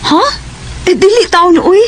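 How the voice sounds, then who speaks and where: A woman's voice: very high-pitched, unhurried, a little hiss or hum. A woman playing a shy, playful schoolgirl in a Cebuano radio drama, with a Cebuano Filipino accent. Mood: casual, teasing. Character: shy, playful teenage girl student being courted.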